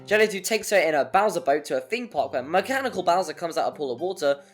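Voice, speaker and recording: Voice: mid-range voice, animated, fast. A narrator reading a scripted video essay in an informal, jokey way with rambling casual asides. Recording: music under the voice. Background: background music.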